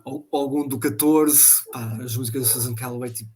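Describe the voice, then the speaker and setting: A man with a European Portuguese accent chatting about video games, enthusiastic and joking, speaking in quick bursts. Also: mid-range voice, fairly even intonation, mellow, a little hiss or hum. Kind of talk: casual gaming chat.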